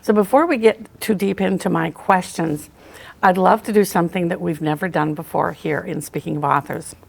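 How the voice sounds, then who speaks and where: Gravelly woman hosting an author interview. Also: on the low side, normal speed. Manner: encouraging, warm affirmations. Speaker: local TV talk show host.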